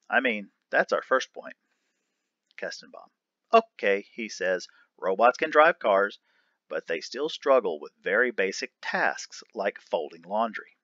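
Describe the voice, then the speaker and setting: A man reading an article aloud for a lesson in a deliberate, emphatic manner. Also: lowish voice, pushed and bright, dry recording, lo-fi sound. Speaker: reader of an educational article. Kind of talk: read-aloud of an article transcript, announcing each speaker.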